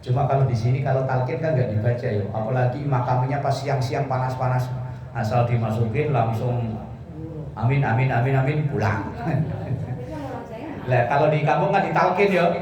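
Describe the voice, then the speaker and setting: A man's voice: medium pitch, normal speed, crowd noise. Man, casual religious teacher, conversational asides, strong room echo, audience murmur. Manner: conversational, joking, explains phrase by phrase with colloquial asides. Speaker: Islamic preacher. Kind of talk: informal sermon explaining Quran verses. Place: echoey room with a listening congregation. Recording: echoey hall, distant mic, audience murmur.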